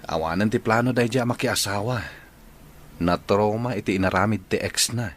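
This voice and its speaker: A man's voice: lowish voice, normal speed, fairly bright tone, a little hiss or hum, lightly acted. An actor with a Filipino Ilocano accent playing an earnest young engaged man, wary of his sister, in a radio drama. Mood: resentful, subdued.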